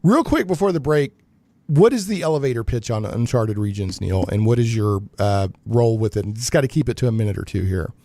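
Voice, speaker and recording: A man's voice: medium pitch, animated, a little fast, warm tone, dry recording. A man hosting a live geek talk radio show in a conversational, informal way.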